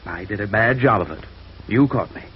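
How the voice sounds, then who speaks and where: A man acting a bitter, stubborn, defensive jailed embezzler in a 1950s radio noir drama, agitated and often halting. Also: lowish voice, expressive, natural voice. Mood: defiant. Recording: muffled, noisy old radio recording.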